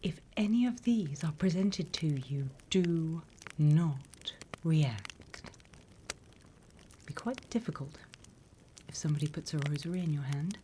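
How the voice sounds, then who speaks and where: A British woman playing a sly demon instructor in a whispered ASMR roleplay, unhurried, wry and smugly scornful of humans. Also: deep voice, expressive, a little hiss or hum. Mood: calm, instructive. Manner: unhurried, hushed, teacherly, wry.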